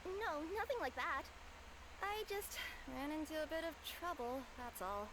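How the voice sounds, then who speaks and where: Brief: high, bubbly cat yokai courier girl, sheepish, game dialogue with background noise. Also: expressive, moderate pace. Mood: cheery, sheepish. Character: cheerful young cat yokai courier girl with two tails.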